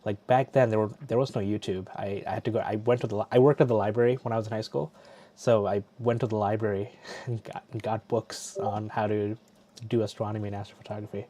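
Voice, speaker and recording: A man's voice: on the low side, fairly even intonation, fast talker, mellow. A middle-aged man from Bangladesh hosting a casual live stream, chatty and loose, often restarting his sentences. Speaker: livestream host. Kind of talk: casual chat.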